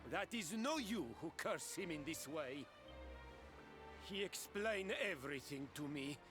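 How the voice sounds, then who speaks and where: A man's voice: on the high side, expressive, measured pace, over music. A man voicing a grateful, dramatic merchant father in a video game, with an Italian accent and courtly, broken English. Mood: earnest, apologetic.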